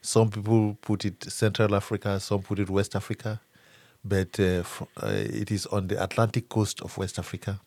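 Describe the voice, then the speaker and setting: An older man from Cameroon introduces himself in an unhurried way, trailing off quietly. His voice is very deep and gravelly, recorded close and dry. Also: level tone, dry recording. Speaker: interview guest. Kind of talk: casual interview.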